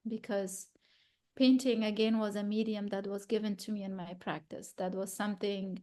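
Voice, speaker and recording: Woman artist from Afghanistan, thirties, gallery talk, thoughtful and halting with restarts, mid-pitched voice. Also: fairly even intonation, darkish tone. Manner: thoughtful, searching for words, frequent restarts. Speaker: exhibiting artist.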